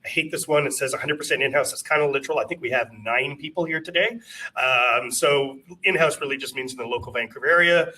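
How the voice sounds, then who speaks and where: A man's voice: medium pitch, quick pace, pushed and bright, recorded from a distance, rough recording. A man giving a casual conference presentation from his company office, chatty and self-deprecating, with many fillers and restarts.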